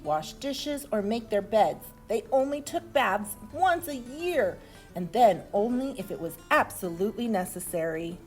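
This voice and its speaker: A woman reads a children's storybook in an expressive, deliberate storytelling manner. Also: fairly high pitch. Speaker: storybook reader. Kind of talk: animated children's story narration.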